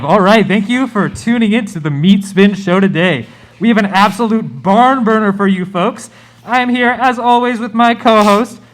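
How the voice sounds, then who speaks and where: A man's voice: high-pitched, natural voice. A man playing an exuberant, pushy, over-friendly radio DJ in a comic live radio play. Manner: exuberant, pushy, piling on alliterative nicknames.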